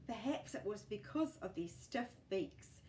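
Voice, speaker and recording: A woman reading a picture book aloud to young children in an animated, encouraging way, with chatty asides to the listener. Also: medium pitch, a little slow, echoey room, in a whisper, low-quality recording.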